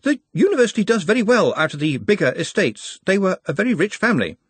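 A man reading an audiobook, voicing a fussy, worried old male scholar who speaks slowly and pedantically. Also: sits high, big pitch swings, husky, no room sound, voice pushed up, heavily acted. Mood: fretful. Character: fussy, fretful old male keeper of traditions.

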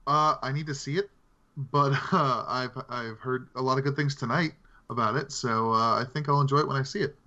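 A man's voice: medium pitch, steady tone, normal speed, pushed and bright. A man co-hosting a casual film discussion among friends, talking in an informal, conversational way. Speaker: podcast co-host. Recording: slightly dull lo-fi mic.

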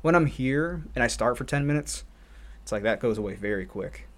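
A man's voice: medium pitch, animated, a little fast. A man who assists as a martial arts instructor, musing on a podcast in a rambling, reflective way. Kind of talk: casual podcast chat.

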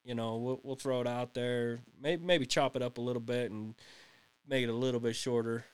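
A man's voice: medium pitch, fairly even intonation, fairly quick, clean tone. A man in his late thirties co-hosting a casual podcast, rambling and laughing often as he chats. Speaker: podcast co-host. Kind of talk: casual chat.

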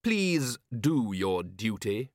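A man voicing an unruffled aristocratic ruler, polite and measured, with dry mischief and feigned innocence, in an audiobook. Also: on the low side, very animated, no room sound, full character voice.

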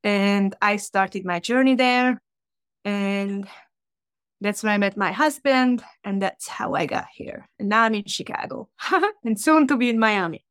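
A woman in her thirties with a Hungarian accent tells her story in a loose, chatty way, full of fillers and quick laughs. Her voice sits at a middle pitch. The sound is close and dry. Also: clear, resonant tone, dry recording. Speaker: podcast guest, tattoo artist. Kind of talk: casual storytelling.

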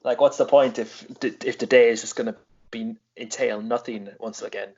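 A man's voice: medium pitch, steady tone, normal speed, a little mellow. A man hosting a casual chat, asking questions while swearing constantly and teasing his guest. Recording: dull lo-fi recording.

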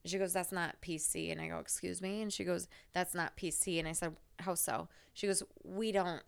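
A woman's voice: on the low side, steady tone, brisk, vocal fry, smooth. A woman co-hosting a casual podcast, telling stories in a candid, rambling way and swearing freely. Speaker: podcast co-host, hairstylist.

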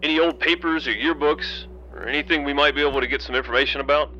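A man's voice: on the high side, steady tone, fast, bright, forceful tone, muffled recording, slight background noise. An actor playing an eager, inquisitive male partner in an audio drama, prodding with impatient questions.

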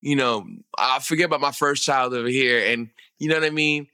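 A man chatting on a podcast, animated and heated, using slang like "bro" and "yo". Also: mid-range voice, fairly quick, clear, resonant tone, no room sound, clean studio sound. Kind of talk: casual podcast chat.

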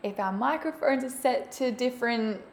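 A woman's voice: fairly high pitch, moderate pace. A young woman co-hosting a casual chat in an upbeat way, laughing easily. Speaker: podcast co-host. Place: quiet room, shared mic. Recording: echoey room, faint background noise.

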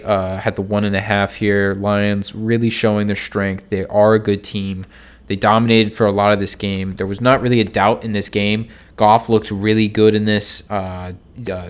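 A man with a slightly low voice, sounding nasal and stuffed-up from a cold. He runs through his betting picks in a relaxed, subdued solo podcast style, filling pauses with ums and restarting his sentences. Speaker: sports betting podcast host. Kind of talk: casual solo sports picks talk.

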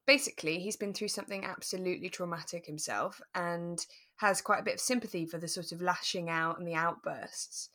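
A woman co-hosting a podcast in relaxed casual discussion, explaining things in a thoughtful, tentative way. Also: fairly low pitch. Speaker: podcast co-host.

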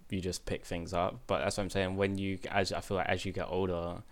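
A man's voice: deep voice, little pitch movement, a little fast. A man in his twenties hosting a podcast, chatting casually with lots of fillers and restarts. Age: 20s. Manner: rambling, many fillers and restarts. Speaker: podcast host. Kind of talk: casual chat.

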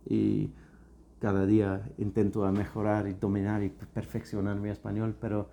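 A man from England, a native English speaker with an English accent, co-hosting a podcast in casual chat and speaking halting learner's Spanish with English words slipped in. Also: lowish voice, monotone, gravelly, mellow, slight background noise. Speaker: podcast co-host.

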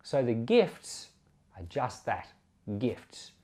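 A man's voice: medium pitch, big pitch swings, extremely slow, darkish tone. A man preaching an informal Bible commentary in an earnest, conversational way, repeating and rephrasing his points for emphasis. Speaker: preacher and Bible podcast host. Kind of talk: informal sermon commentary on scripture.

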